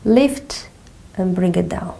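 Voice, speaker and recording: A middle-aged Portuguese woman with a Portuguese accent, gently guiding a floor movement exercise with slow, calming cues and frequent check-ins. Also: fairly low pitch, gravelly. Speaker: movement teacher. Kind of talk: guided somatic exercise instruction. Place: small carpeted room indoors.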